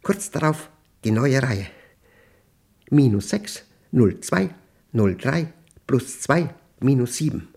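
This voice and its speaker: An actor playing a paranoid, obsessive man recording a spoken diary in a radio play, in clipped, intense fragments with a gravelly, husky voice. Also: medium pitch, expressive, slow pace, long pauses, a little mellow, exaggerated voice. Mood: feverish triumph. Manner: clipped, fragmented, intense delivery with emphatic outbursts.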